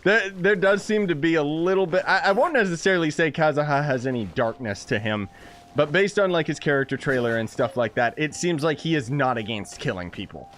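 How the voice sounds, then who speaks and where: An excitable gaming host. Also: male voice, on the high side, fairly quick, a little hiss or hum. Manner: excitable, exclaiming, jokey. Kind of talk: let's-play commentary.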